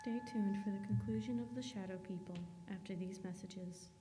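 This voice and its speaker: A woman's voice: medium pitch, fairly quick, close to an everyday voice. A woman narrating a horror tale as dramatic, suspenseful scripted radio drama. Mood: measured. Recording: loud music under voice.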